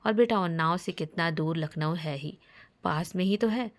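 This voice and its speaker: A woman's voice: fairly low pitch, moderate pace, close to an everyday voice. A woman voicing a kindly old grandfather who coaxes his family affectionately in a story. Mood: affectionate, persuasive. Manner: affectionate, coaxing.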